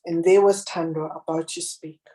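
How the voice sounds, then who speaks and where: A woman with a deep, husky, raspy voice reading her own children's picture book aloud and chatting about it. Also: fairly even intonation. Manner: gentle, unhurried reading aloud with picture commentary. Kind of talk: children's storybook reading, then casual chat.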